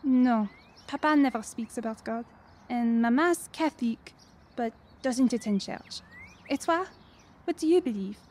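Sweet young Frenchwoman, high-pitched. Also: measured pace, a little mellow. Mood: friendly, curious. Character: sweet, curious young French music student. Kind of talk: radio drama acting.

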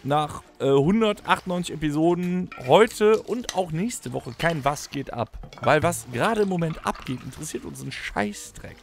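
A middle-aged man from Germany hosts a casual podcast chat, rambling and poking fun at himself. His voice sits a touch high and swings widely in pitch. Soft music plays underneath. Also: lively intonation, normal speed, creaky, hardly any pauses. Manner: rambling, self-mocking, lots of filler sounds. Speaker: podcast host. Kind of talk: casual chat in a staged holiday audio play.

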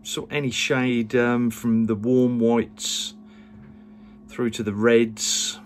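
A man's voice: medium pitch, little pitch movement, slow. A man in his fifties giving a rambling, casual tour of his narrowboat with plenty of ums, filmed on a handheld action camera in a small cabin. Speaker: vlogger.

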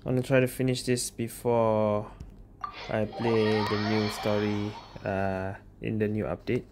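Very low-voiced woman, casual gaming commentary. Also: flat delivery, unhurried, background noise. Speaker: gaming streamer.

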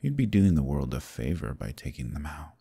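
A man voicing an arrogant, scheming Demon King who taunts and menaces in an ASMR roleplay, often dropping to a husky whisper. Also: very deep voice, big pitch swings, fairly quick, dark tone, heavily acted. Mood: coaxing. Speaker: voice actor.

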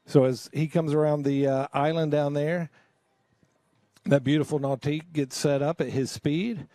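A man commentating live on a slalom water-ski run, calling the passes with pauses and fillers. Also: on the high side, fairly even intonation, warm tone, dry recording, studio quality. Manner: enthusiastic play-by-play with filler pauses.

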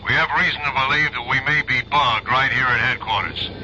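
An actor playing a brisk, authoritative chief of detectives in a 1970s radio drama. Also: male voice, medium pitch, pushed and bright. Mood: brisk, businesslike. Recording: dull, faintly hissy 1970s radio recording.

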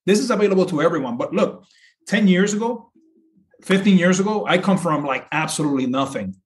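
A man's voice: high voice, animated, fairly quick. A middle-aged man from Puerto Rico talking as an interview guest, explaining in an earnest, conversational way and repeating phrases for emphasis. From Puerto Rico, later south of Boston. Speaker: podcast guest, insurance broker.

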